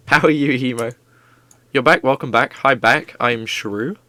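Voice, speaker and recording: A man's voice: medium pitch, slow, bright, forceful tone. A man streaming live, chatting casually and rambling playfully with his viewers while drawing.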